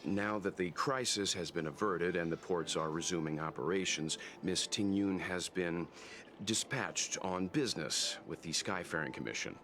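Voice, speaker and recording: Deep, composed game companion. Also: male voice, moderate pace, fairly bright tone, a little hiss or hum. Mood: measured. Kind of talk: video game voice acting.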